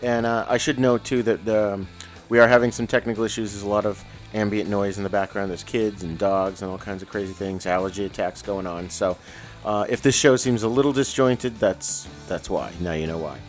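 Low-voiced man hosting a casual film chat. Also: steady tone, brisk, faint music behind. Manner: loose, joking, reads credits with asides. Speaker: podcast host.

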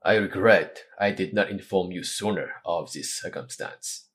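A man's voice: low-pitched, a little slow, a bit bright. A man reading the part of a proud, wounded noble swordsman, in a formal and courtly manner. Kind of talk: audiobook character reading.

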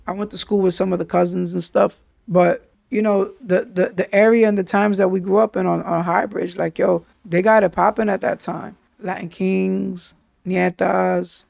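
Gravelly New man telling his story. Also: sits high, darkish tone, no room sound, studio quality. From New York City. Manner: street slang, frequent "yo" and "you understand?". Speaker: podcast host. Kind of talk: candid personal storytelling.

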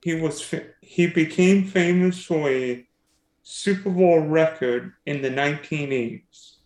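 A man's voice: fairly high pitch, measured pace, darkish tone. Roomy-sounding man hosting a sports chat. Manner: teasing, quizzing his guest. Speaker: podcast host. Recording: roomy echo.

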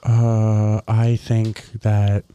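A man's voice: lowish voice, monotone, extremely slow, warm tone, smooth. A man co-hosting a casual podcast, drawling and hedging with lots of filler words. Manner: drawling, hedging with "you know" and "like". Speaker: podcast co-host.

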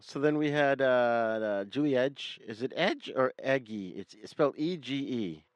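A man with a New England accent hosts a film podcast in an informal, joking manner. His voice sits at a middling pitch, and the sound is dry and close with very little room in it. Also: lively intonation, measured pace, no room sound. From New England, United States. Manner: jokey, informal. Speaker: podcast host. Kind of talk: casual film discussion.

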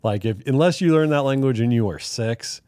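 A young man hosting a podcast, chatting casually with his guest in a relaxed, talkative way. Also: mid-range voice, brisk, no echo, studio quality. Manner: relaxed, chatty, tells anecdotes. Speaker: podcast host. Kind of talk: casual interview chat.

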